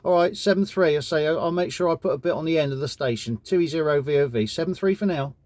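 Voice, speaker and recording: A man's voice: on the high side, quick pace, darkish tone, no echo. A man with an English accent chatting courteously as an amateur radio operator during a casual contact. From United Kingdom.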